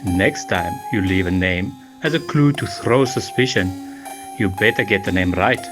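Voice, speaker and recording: A man playing a methodical, unflappable police inspector in an amateur radio murder mystery, speaking in a measured, formally polite way. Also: lowish voice, music in the background, natural voice. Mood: stern, accusing. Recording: noisy home-recorded phone voice messages.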